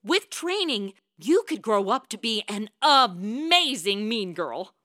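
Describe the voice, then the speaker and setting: A woman's voice: on the high side, slow pace, exaggerated voice. An actress playing a sarcastic, self-absorbed young woman in a comedic radio play, flippant and slangy. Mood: teasing. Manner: deadpan quips, slangy, flippant.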